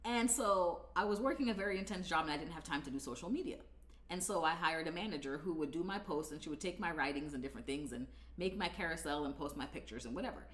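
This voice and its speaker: A woman in her thirties with a deep voice, talking candidly and conversationally as a coach in a video. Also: quick pace, reverberant. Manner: candid, conversational, animated, punctuated with laughs. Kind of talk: direct-to-camera talk video.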